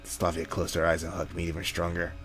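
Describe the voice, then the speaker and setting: A man's voice: low voice, monotone, fairly quick, a bit bright, a little hiss or hum. A man reading a visual novel aloud in a jokey way, with casual asides and the odd slip. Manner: jokey, slips and corrects himself while reading. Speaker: gaming video host. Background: background music.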